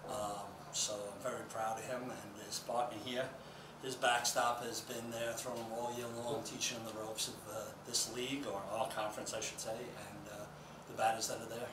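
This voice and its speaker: A man's voice: on the low side, flat delivery, normal speed, few pauses. A middle-aged man, a baseball coach, answering questions at a post-game press conference. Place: indoor press conference room at a table. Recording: echoey, distant mic, loud room noise.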